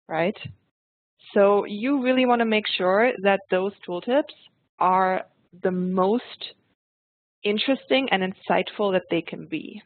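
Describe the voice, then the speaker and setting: A woman from Germany with a German accent, presenting a software tutorial webinar in a friendly, explanatory way and speaking straight to her audience. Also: medium pitch, no echo. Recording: dry tinny phone-like line.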